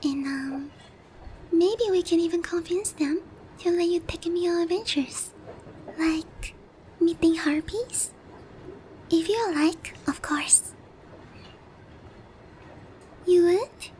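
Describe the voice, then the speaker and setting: Very high-pitched, naive harpy girl, acted by a woman. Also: takes it slowly, pauses often, a little mellow, slight background noise. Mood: shy, happy. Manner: polite, hesitant, girlish, earnest. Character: naive, stubborn, sheltered young harpy noblewoman, airheaded and polite. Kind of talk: roleplay ASMR acting.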